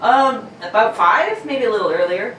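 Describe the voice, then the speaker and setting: A fond, concerned husband in a radio play, acted by a woman, high in pitch and very animated. The delivery is playful and hammy. The room echoes heavily. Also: moderate pace. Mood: unsure. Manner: jokey, hammy, giggly. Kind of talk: amateur radio drama read-through, playful. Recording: very echoey room, distant mic, faint noise.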